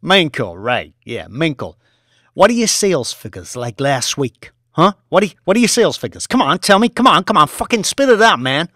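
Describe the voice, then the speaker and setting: A man voicing a volatile, bullying young finance boss who shouts and swears at his staff. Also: mid-range voice, sing-song, unhurried, dry recording, clean studio sound, heavily acted. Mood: raging. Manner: shouting, swearing, aggressive New York street talk.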